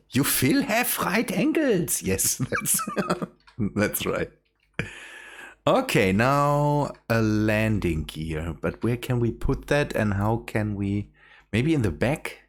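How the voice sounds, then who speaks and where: A man's voice: medium pitch, sing-song, slow. A man hosting a casual game stream, laughing often and restarting his sentences. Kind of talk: casual gaming chat.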